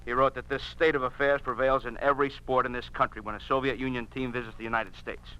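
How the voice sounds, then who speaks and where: An actor playing an angry, stubborn sports editor in a 1950s radio drama, forceful and insistent, hammering each point. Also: male voice, medium pitch, level tone, quick pace, smooth, natural voice. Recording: muffled old radio recording.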